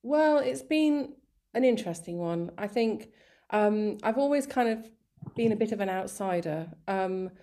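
A woman's voice: mid-range voice, lively intonation, unhurried, dark tone. A woman in her fifties, a guest in an interview, telling her life story in a rambling, chatty way with many fillers and restarts. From Sri Lanka, raised in England. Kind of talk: casual conversational interview.

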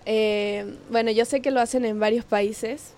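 Young Ecuadorian-born woman, interview guest; slightly high voice, halting, filler-heavy delivery. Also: fairly even intonation, darkish tone, slight background noise. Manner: hesitant, many fillers, laughs easily. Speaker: guest, singer. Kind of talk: casual interview.

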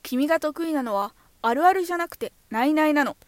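A woman playing a brisk, strict but encouraging schoolteacher of samurai pupils, instructing emphatically. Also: high voice, animated, dry recording, clean studio sound.

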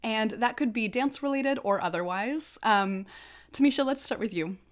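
A woman's voice: a little high, moderate pace. A woman hosting an interview, asking questions and responding with frequent encouraging affirmations.

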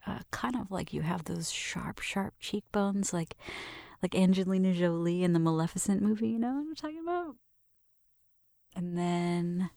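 A woman performing a gentle, intimate ASMR roleplay, softly whispering and murmuring close to the mic as she narrates each step. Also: mid-range voice, no echo. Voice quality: soft, breathy, often whispering.

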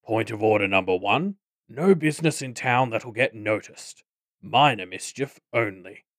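A man voicing a pompous, menacing goblin boss in an audiobook, with wordy, deliberate speech. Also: lowish voice, expressive, no echo, clean studio sound. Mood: pompous, controlling. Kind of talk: audiobook character acting.

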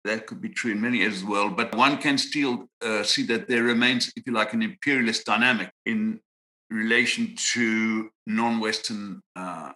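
A man in his sixties from Zimbabwe, a psychiatrist answering interview questions in a measured, reflective way with frequent pauses and restarts. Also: lowish voice, steady tone, bright, forceful tone. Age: 60s. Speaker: guest psychiatrist.

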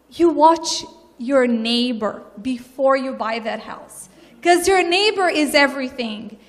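A woman from Brazil with a Brazilian Portuguese accent, giving an earnest sermon as a guest preacher, with pauses and fillers. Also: sits high, long reverb tail.

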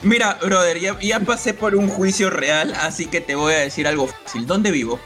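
A man's voice: high voice, moderate pace, bright, forceful tone, faint music behind. A Peruvian man joking defiantly with friends in a group chat. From Peru. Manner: bantering, defiant.

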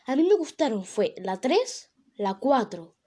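A woman's voice: on the high side, very animated, a little slow, warm tone, slightly rough. A young woman from Venezuela with a Venezuelan accent, chatting casually on her own and rambling with many fillers and self-corrections. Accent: Venezuelan Spanish. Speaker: vlogger. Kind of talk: casual solo talk to camera.

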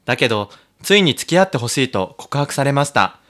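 A man's voice: medium pitch, very animated, fairly quick, fairly bright tone. A man co-hosting a podcast in casual comic banter, teasing and often laughing as he talks. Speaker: podcast co-host.

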